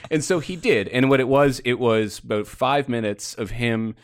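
A man, a car channel co-founder, telling a story in a quick, animated way during a casual podcast chat. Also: mid-range voice, dry recording, clean studio sound.

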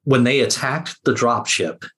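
A man on a podcast panel, chatting casually with halting, loose phrasing. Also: mid-range voice, fairly bright tone. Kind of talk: casual chat.

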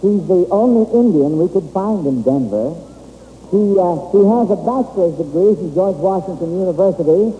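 A man hosting an old-time radio quiz show from a stage, talking in a halting, folksy way. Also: high-pitched, expressive, phone-quality sound. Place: stage in an auditorium. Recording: echoey hall, thin old radio recording, hiss.

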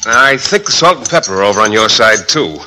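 A man's voice: fairly low pitch, animated, brisk, pushed and bright. An actor playing a polite, oblivious male teacher with an American accent in classic radio comedy. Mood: polite, cheerful. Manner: polite, guileless delivery. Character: mild, earnest, oblivious male biology teacher. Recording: very noisy old radio broadcast.

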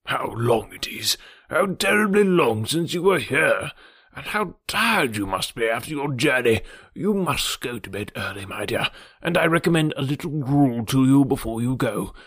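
Here's a man doing a frail, fretful old gentleman with a husky, breathy voice, fussing and doting as he frets over every chill and draught. His tone is gently insistent and worried, and the recording is dry and close. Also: medium pitch, sing-song, moderate pace, no room sound, heavily acted. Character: fretful, fussy elderly gentleman, anxious about health. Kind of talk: audiobook character reading.

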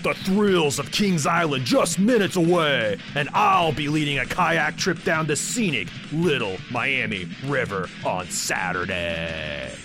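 A man's voice: a little high, lively intonation, measured pace, few pauses. Male podcaster reading promo over guitar music. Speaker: podcast host. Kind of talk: scripted event promo. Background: plucked guitar music.

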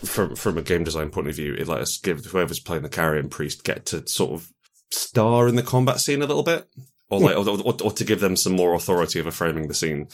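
A man with a low voice talks casually as a guest game designer. He explains things conversationally and drops in wry, joking asides. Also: brisk. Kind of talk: casual chat.

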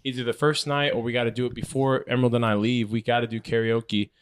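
A man's voice: mid-range voice, little pitch movement, fast talker, background noise. A young man hosting a podcast, chatting casually in a rambling way with lots of fillers. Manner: rambling, filler-heavy, self-deprecating. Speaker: podcast host. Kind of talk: casual chat.

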